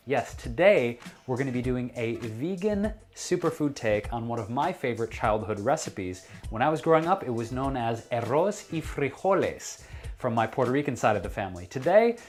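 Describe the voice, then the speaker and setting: A man's voice: mid-range voice, expressive, moderate pace, soft background music. An American man presenting a recipe as a cooking show host, chatty, playful and enthusiastic in his delivery. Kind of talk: upbeat recipe demonstration to camera. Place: kitchen.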